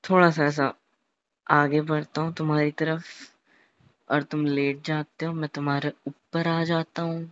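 A man's voice: on the high side, little pitch movement, fairly bright tone, clean tone. Young man murmuring romantically, muffled call recording. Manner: halting, with repeated words and English mixed in. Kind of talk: intimate casual phone chat, romantic storytelling.

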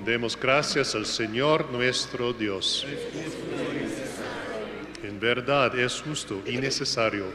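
Slow solemn priest in echoing church. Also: male voice, medium pitch, over a noisy crowd. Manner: slow, solemn liturgical recitation. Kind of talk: reciting the Mass liturgy. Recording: echoey church with noise.

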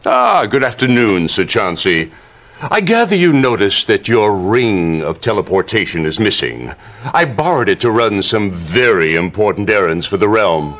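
An actor playing a scheming middle-aged royal advisor in a campy video game cutscene, mock-polite and gloating with a theatrical drawl. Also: male voice, lowish voice, big pitch swings, moderate pace, fairly bright tone. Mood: mock-polite menace.

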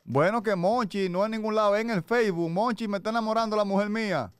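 A man's voice: high-pitched, quick pace, clear, resonant tone, no room sound. A young Dominican man hosting a radio show, teasing and insistent in comic phone-prank banter, on a close studio microphone. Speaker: radio show host making a prank call. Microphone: close broadcast microphone with headset.

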